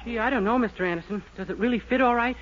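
A man's voice: extremely high pitch, rapid-fire. An actor playing a naive, bashful, boyish young man in a 1940s radio comedy, hesitant and gee-whiz in manner. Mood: sheepish doubt. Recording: lo-fi old 1940s radio, faint noise.

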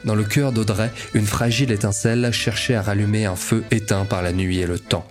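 A man plays a literary narrator in an audio fiction. His voice is fairly low and solemn, telling the story lyrically over clear instrumental music. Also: steady tone, fairly quick, close to an everyday voice. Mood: solemn, poetic.

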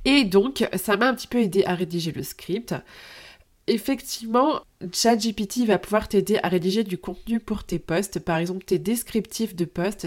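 A young adult woman hosting a podcast with a head cold and a nasal voice, chatting upbeat and straight to the listener. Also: mid-range voice. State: head cold, stuffy nose. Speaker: podcast host. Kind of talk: casual solo podcast talk to the listener.

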